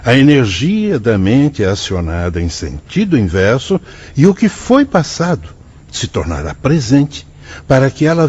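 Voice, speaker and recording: An actor playing a wise, patient priest and mentor in a Brazilian radio drama, husky, solemn and didactic, with a Brazilian accent. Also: male voice, on the low side, darkish tone, exaggerated voice. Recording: dull, muffled studio audio.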